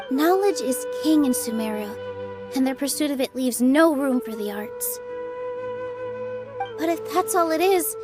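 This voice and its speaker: A gentle young dancer with a high voice and an earnest, thoughtful manner. It is anime game acting with music faintly behind it. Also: female voice.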